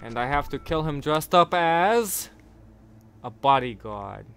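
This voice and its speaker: A man's voice: on the high side, lively intonation, takes it slowly, vocal fry, fairly bright tone, clear, resonant tone. A man commentating his own gameplay, joking and swearing, now and then putting on silly voices. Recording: game music underneath.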